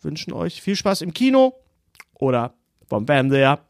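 Man, podcast host, high pitch, animated intonation, casual banter, emphatic repetition. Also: normal speed, creaky, no room sound, studio quality. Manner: playful, repeats himself emphatically.

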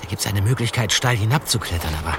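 An actor playing a cautious, puzzled young detective in an audio drama. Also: male voice, fairly low pitch, expressive, fast, recorded from a distance, very noisy. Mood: cautious, helpful.

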